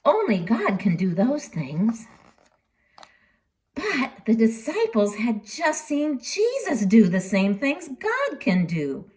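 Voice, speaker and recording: Animated woman reading a children's storybook. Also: on the high side. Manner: dramatic, with deliberate pauses and emphasis.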